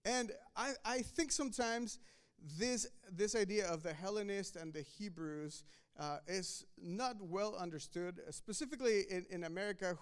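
A man's voice: high-pitched, clear, resonant tone. A man from Mexico, preaching a sermon in a conversational way, often restarting and repeating words. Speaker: pastor.

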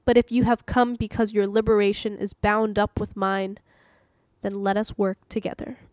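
A woman's voice: mid-range voice, flat delivery, normal speed, darkish tone, a little hiss or hum. A woman hosting and narrating a scripted devotional reading. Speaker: host reading daily devotionals.

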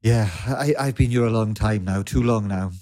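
A mellow-voiced Welshman hosting a laid-back podcast, the sort you would hear chatting with guests over headphones. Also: on the low side, moderate pace. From Wales. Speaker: podcast host. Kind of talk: casual chatty interview.

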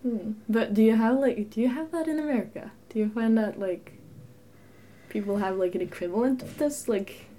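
A woman's voice: fairly high pitch, moderate pace, warm tone. A young Norwegian woman co-hosting a casual podcast chat, talkative, often laughing, with lots of little fillers. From Norway. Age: young adult. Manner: chatty, laughs easily, frequent fillers. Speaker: podcast co-host.

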